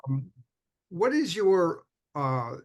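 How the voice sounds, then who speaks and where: A man's voice: on the high side, big pitch swings, extremely slow. A man moderating a webinar panel, stumbling and repeating words as he poses questions.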